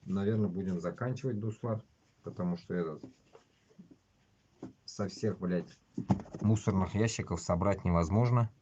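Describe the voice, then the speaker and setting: A man's voice: deep voice, moderate pace, long pauses, mellow, a little hiss or hum. A man from Bashkortostan, hosting a casual livestream in a blunt way. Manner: blunt, heavily swearing. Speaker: livestream host. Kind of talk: casual livestream chat with read-out news. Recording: dull, lo-fi stream audio.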